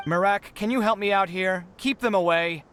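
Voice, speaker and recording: A man's voice: high-pitched, normal speed, background noise, close to an everyday voice. A man playing a harried young inventor in a video game, dramatic and put-upon but kind-hearted, with an exasperated manner. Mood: exasperated, pleading. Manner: exasperated, theatrical, sighing. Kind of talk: animated video game character acting.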